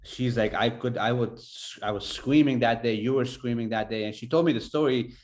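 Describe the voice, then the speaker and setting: A man from Afghanistan hosting a podcast, telling a personal story in an earnest, halting way. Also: mid-range voice, darkish tone. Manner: earnest, halting, many fillers. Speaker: podcast host.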